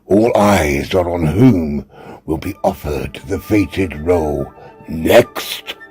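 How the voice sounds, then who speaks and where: A man's voice: low voice, slow, darkish tone, breathy edge, faint music behind. A man giving a scripted introduction to an audiobook episode.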